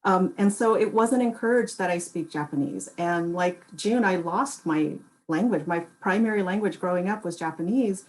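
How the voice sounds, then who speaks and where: Reflective lower-voiced woman panelist. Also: normal speed, hardly any pauses, darkish tone, rough recording. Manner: reflective, conversational, occasional laughs. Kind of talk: casual panel talk.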